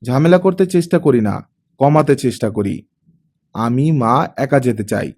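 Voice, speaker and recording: A man's voice: mid-range voice, animated, measured pace, a little mellow. A man voicing a stubborn, curt young mother in an audiobook, speaking insistently.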